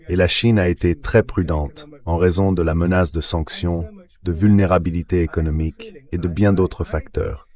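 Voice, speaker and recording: A man giving measured, analytical geopolitical commentary as an interview guest, read by a synthetic translation voice. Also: low voice, darkish tone.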